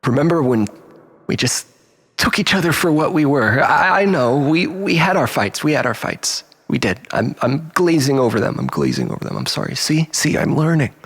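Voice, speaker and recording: Man acting a gravelly, desperate, self-pitying ex-lover. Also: fairly high pitch, big pitch swings, fairly bright tone, long reverb tail, full character voice. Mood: tearful pleading. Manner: halting, stammering, repeating himself, swinging from pleading to bitter. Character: desperate, manipulative, self-pitying man pleading with his lost love. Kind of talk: dramatic monologue.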